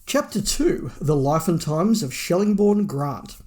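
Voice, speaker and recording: A man's voice: on the high side, sing-song, unhurried, a little mellow. A man co-hosting a review podcast, reading out a prepared plot summary. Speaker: podcast host.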